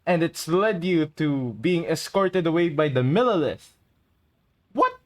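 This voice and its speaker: A game streamer reacting casually out loud and talking back to the characters. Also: medium pitch, animated, unhurried, slight background noise. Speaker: streamer playing a video game.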